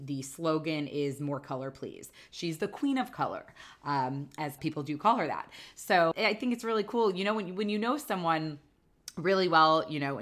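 A woman's voice: low voice, a bit bright. A woman hosting a podcast, getting over a cold with a slightly nasal voice, chatting casually with lots of fillers and easy laughs. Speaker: podcast host. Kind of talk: casual chat. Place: apartment in New York City.

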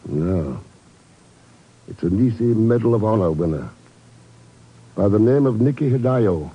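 An actor playing a composed secret agent in a 1950s radio drama, terse, calm and probing. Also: male voice, fairly low pitch, a little slow. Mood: grave, probing. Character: cool, composed male secret agent hero. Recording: muffled old radio recording with music.

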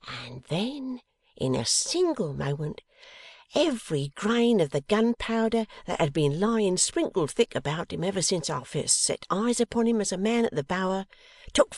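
A woman voicing a warm-hearted, delighted old working-class wife in a classic novel reading, with folksy, exclamatory speech. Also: lowish voice, dry recording, studio quality, voice pushed up.

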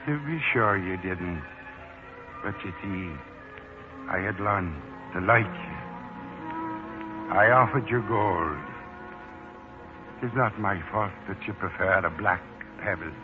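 A low-pitched Irish leprechaun from a radio play, sly and posing as a manservant. He talks in wordy, mock-formal phrases with wounded dignity. The old broadcast is tinny and narrow, with music in it. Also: takes it slowly, long pauses. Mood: dignified, wry. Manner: wordy, mock-formal, flustered when cornered. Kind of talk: 1940s radio acting.

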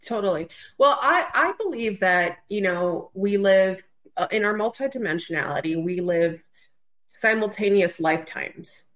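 A woman's voice: mid-range voice, expressive, normal speed, fairly bright tone. A woman chatting casually as a podcast guest, effusive and exclamatory in the way she talks.

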